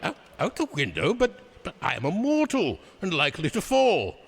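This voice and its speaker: An actor playing a reluctant old miser in a radio drama, stammering, querulous and dryly evasive. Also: male voice, high voice, huge pitch swings, moderate pace, gravelly, big-room sound, background noise, heavily acted. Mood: wary. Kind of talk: theatrical radio drama acting.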